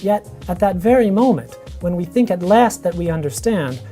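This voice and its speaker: Low, mellow woman reading philosophy. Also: animated, moderate pace, faint music behind. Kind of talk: measured scripted reading of philosophy.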